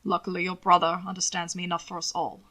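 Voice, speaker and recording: Woman playing a suave, low-voiced devoted gentleman. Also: completely flat delivery. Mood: dry, composed. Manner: measured, formal, low-key. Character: suave, devoted husband, a formal gentleman, calm and protective. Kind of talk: audiobook character voice. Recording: occasional static crackle from microphone.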